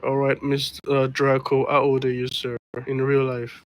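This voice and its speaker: A man's voice: medium pitch, monotone, measured pace, no echo, poor audio. A man acting as a trainee in a roleplay game, speaking formally and politely with "sir".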